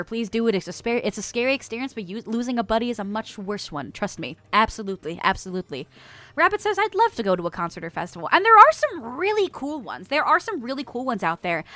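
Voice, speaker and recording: A bubbly young woman streamer chats with her viewers, her fairly high voice bouncing all over in pitch. She giggles often and stretches her greetings. The sound is dry and close, with no room around it. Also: fairly bright tone, dry recording. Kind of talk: casual livestream chat reading viewer messages.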